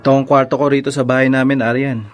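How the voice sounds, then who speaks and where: Actor as an earnest young Filipino lover. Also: male voice, medium pitch, level tone, quick pace, soft background music, lightly acted. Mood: tender. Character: devoted, earnest young lover and expectant father. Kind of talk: Filipino radio drama acting.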